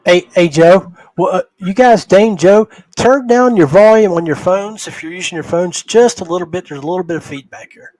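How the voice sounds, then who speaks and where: A man's voice: high-pitched, normal speed, hardly any pauses, a little mellow, dry recording, low-quality recording. A man hosting a livestream podcast over a video call, chatting informally and chummily with his guests. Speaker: podcast host. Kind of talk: casual livestream podcast chat.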